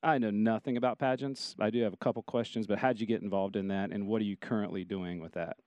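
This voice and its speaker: Very low-pitched host from Ohio, casual, short affirmations, dry. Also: female voice, fast talker, no echo. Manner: relaxed, brief affirmations. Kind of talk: casual interview chat.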